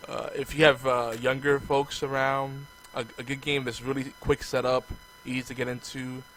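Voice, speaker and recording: A man chatting casually on a podcast, relaxed and easygoing. His voice is going hoarse as he gets sick. There is a faint hiss behind him. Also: mid-range voice, normal speed, poor audio. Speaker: podcast co-host. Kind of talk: casual chat.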